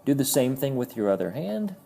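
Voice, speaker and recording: A man's voice: mid-range voice, sing-song, fairly quick, vocal fry, warm tone, slight background noise. A man giving a casual, explanatory toy review, friendly in tone and sometimes stumbling over his words.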